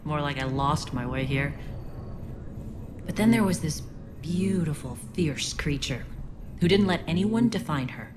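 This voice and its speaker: A woman playing a guarded, sincere alien resistance leader in a video game, speaking in a measured, reflective way. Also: deep voice, expressive, music in the background. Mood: wistful, reflective. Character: earnest, guarded female alien resistance leader, haunted veteran.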